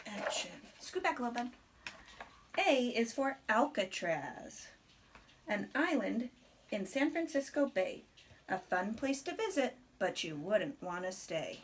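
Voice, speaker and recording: A woman reading a rhyming picture book aloud to a small child in an expressive, deliberate storybook manner, with chatty asides. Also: a little high, a little hiss or hum.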